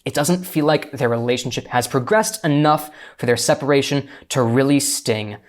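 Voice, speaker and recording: A man's voice: mid-range voice, normal speed. Methodical man giving script notes. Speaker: script consultant on a discussion panel. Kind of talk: prepared critique in a panel discussion.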